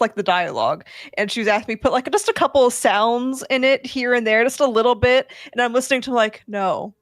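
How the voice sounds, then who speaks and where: A woman's voice: fairly high pitch, a bit bright, dry recording, studio quality. A woman in casual chat, playful and giggly as she shares anecdotes. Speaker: sound designer on a panel.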